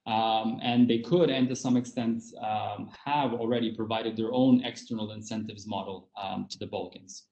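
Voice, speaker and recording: A man giving a measured academic panel talk, pausing on fillers and restarting phrases. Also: on the low side, steady tone, darkish tone. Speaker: panel speaker. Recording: muffled roomy sound.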